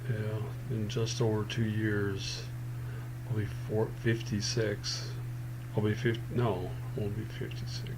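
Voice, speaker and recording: A man in his fifties talking slowly into a webcam, halting with many fillers and restarts, frustrated and complaining. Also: fairly low pitch, flat delivery. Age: 50s. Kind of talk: personal webcam monologue. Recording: noisy webcam recording with background hum.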